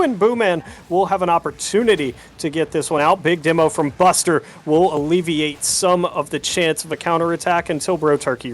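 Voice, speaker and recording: A male esports commentator calls a live match play by play. His pitch is a bit above the usual for a man. He tracks each move and adds short bits of analysis.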